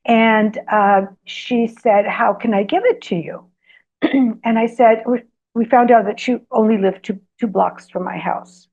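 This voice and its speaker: A woman whose first language is Greek, a guest telling personal stories in an interview. She talks hesitantly with many ums, and grows animated during her anecdotes. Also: a little high, measured pace. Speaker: guest author. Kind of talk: storytelling in an interview. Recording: slightly dull lo-fi sound.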